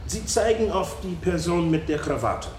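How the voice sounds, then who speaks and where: A man questions a witness in court in a formal, precise way. His voice is fairly high and sits back from the mic, with a roomy echo and a faint hiss. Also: expressive, moderate pace, a little mellow. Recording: distant echoey mic with faint noise.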